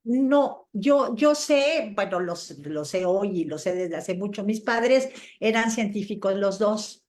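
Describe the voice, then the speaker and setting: A woman's voice: mid-range voice, animated, slow, a little mellow. A middle-aged woman from Chile and Mexico answering casually in an interview, telling anecdotes in a conversational way. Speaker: interviewed scientist guest.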